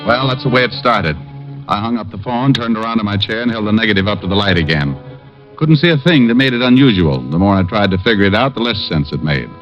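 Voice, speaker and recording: An actor playing a wisecracking private eye who narrates and quips in a dry, sardonic way, in 1950s radio drama style. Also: male voice, fairly low pitch, animated, quick pace, natural voice. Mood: narrating. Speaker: narrator and lead. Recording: dull lo-fi old radio broadcast with faint music.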